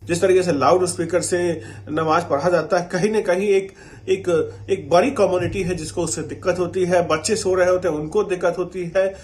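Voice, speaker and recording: A man's voice: high-pitched, fairly even intonation, moderate pace, darkish tone. A man speaking as an interviewee, giving his opinion to the news media.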